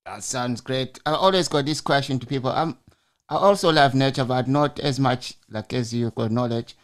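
A man's voice: medium pitch, moderate pace. A man hosting a podcast over a video call, asking long, rambling questions with frequent restarts. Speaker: podcast host. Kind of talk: casual interview. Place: home room, video call. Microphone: large studio mic on arm.

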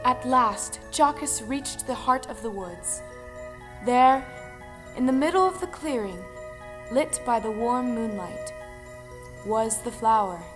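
A woman narrating a folktale audio play in a dramatic, measured storytelling manner. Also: fairly high pitch, expressive. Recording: music under the voice.

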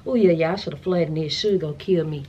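An American woman in her thirties chatting casually to camera in a sassy, slangy, animated way, as a vlogger showing a haul. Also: sits low, fast talker, slightly rough, highs cut off. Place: furnished room at home, indoors.

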